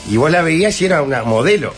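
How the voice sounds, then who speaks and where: A man chatting on a radio panel, pausing and searching for his words. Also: a little high, lively intonation, soft background music. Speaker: panelist nicknamed the leader. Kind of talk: casual radio panel chat.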